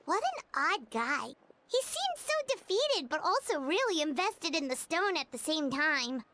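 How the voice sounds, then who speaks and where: Tiny, squeaky fairy companion. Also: female voice, sing-song, unhurried, pushed and bright, a little hiss or hum, full character voice. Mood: curious. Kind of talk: video game voice acting.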